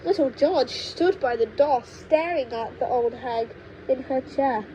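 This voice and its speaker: A woman reading an audiobook as an amateur storyteller, haltingly, sometimes repeating words. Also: warm tone. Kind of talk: audiobook storytelling narration, informal asides. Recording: noisy low-quality home microphone.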